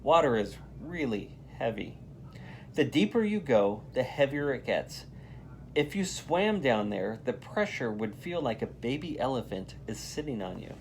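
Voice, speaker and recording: A man voicing an adventurous, upbeat woman science teacher in a storybook, explaining and announcing things brightly. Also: medium pitch, very animated, measured pace, a little hiss or hum.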